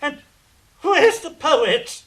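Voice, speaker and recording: A man's voice: very high-pitched, expressive, drawn-out words, a bit bright, full character voice. A man playing a weary middle-aged king who talks in a dry, sarcastic, put-upon way. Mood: exasperated.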